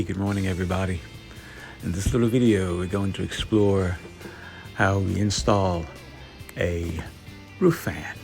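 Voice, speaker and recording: A man narrating his own DIY build in a casual, candid, informal way, like a vlogger. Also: low-pitched.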